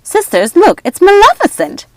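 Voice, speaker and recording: A wicked storybook witch, acted out by a woman reader, imperious and menacing. Her voice is pushed high and loud, shrill and commanding, with a frenzied dramatic edge. The sound is dry and close. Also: wildly swooping pitch, moderate pace, no room sound, exaggerated voice. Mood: frantic. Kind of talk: storybook character acting.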